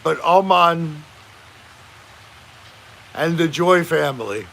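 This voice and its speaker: A man voicing a goofy old Icelandic man who tells rambling tall tales in a slow, loud way. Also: on the high side, animated, gravelly, slight background noise, heavily acted, low-quality recording.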